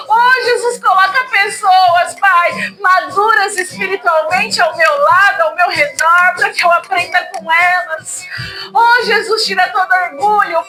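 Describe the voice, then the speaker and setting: A woman's voice: extremely high pitch, low-quality recording. A woman leading an emotional spoken prayer, tearful and pleading, her voice breaking as she asks for forgiveness. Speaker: prayer leader. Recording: guitar music behind. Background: soft guitar music.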